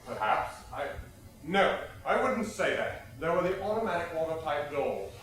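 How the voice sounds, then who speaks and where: A man's voice: a little high, very animated, moderate pace, fairly bright tone. A man on stage playing an old shipping magnate, formal, defensive and hesitant. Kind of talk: stage play acting. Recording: very echoey stage, distant microphone.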